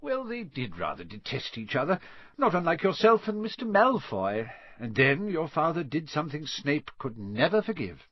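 A man acts out an elderly wizard headmaster for an audiobook. The voice is husky and lilting, genial and dreamy, with a quietly self-amused musing manner. The sound is a little roomy. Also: fairly high pitch, wildly swooping pitch, moderate pace, lo-fi sound, voice pushed up. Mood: gentle, musing. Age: older. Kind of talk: audiobook character voice.

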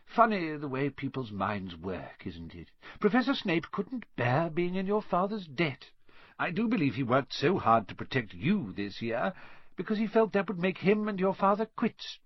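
A man acts out an elderly wizard headmaster for an audiobook. The voice is husky and lilting, genial and dreamy, with a quietly self-amused musing manner. The sound is a little roomy. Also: on the high side, big pitch swings, moderate pace, dull audio, voice pushed up. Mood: gentle, musing. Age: older. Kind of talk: audiobook character voice.

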